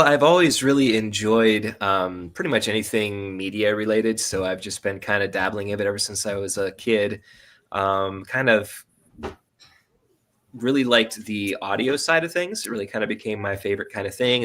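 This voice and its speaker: Lower-voiced man, halting podcast guest. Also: a bit bright. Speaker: guest, audio drama writer and producer. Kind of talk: casual interview chat.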